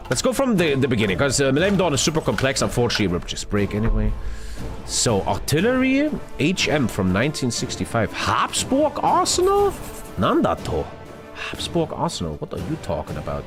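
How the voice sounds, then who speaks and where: A young man streaming a strategy game live, ranting and swearing at his chat. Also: mid-range voice. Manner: loud, swearing, jumpy rants to chat. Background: game music.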